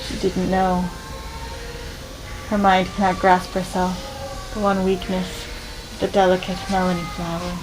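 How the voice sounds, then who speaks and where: A woman narrating in a solemn, poetic, deadpan mock-documentary style. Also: fairly low pitch, unhurried, low-quality recording. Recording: loud music behind the voice.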